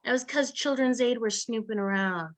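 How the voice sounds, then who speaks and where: A woman performing a stand-up comedy routine with cocky, teasing delivery and drawn-out pauses. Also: fairly high pitch.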